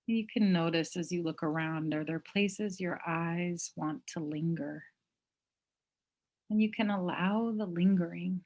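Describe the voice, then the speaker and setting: A woman teaching mindfulness, leading a guided meditation in a gentle, unhurried manner with long pauses. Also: lowish voice.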